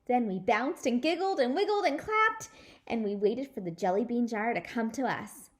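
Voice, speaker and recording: An excitable little girl played by a woman tells her storybook adventures. She speaks high and exclaims dramatically in childish phrasing. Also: normal speed, close to an everyday voice. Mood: eager, nervous. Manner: exclamatory, breathless, childish grammar.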